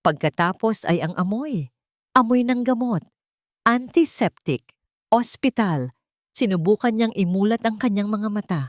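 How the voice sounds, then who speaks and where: A woman's voice: medium pitch, lively intonation, unhurried, dry recording, clean studio sound. A woman narrating a dramatic audiobook story in a scripted storytelling style.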